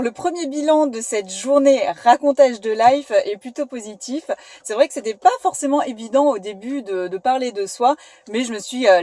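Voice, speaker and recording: A woman's voice: fairly high pitch, dark tone, dry recording. A young woman from Paris with a Parisian accent, chatting casually in a vlog, hesitant with many fillers. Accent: Parisian French. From France. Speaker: vlogger. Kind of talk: casual vlog chat with strangers. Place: shopping centre.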